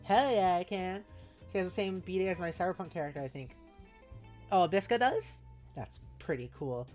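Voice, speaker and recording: A woman streamer chatting casually with viewers, giggly and halting as she thinks aloud and reads out messages. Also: on the low side, animated, darkish tone. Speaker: streamer, host. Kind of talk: casual livestream chat, reading out viewer messages. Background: music.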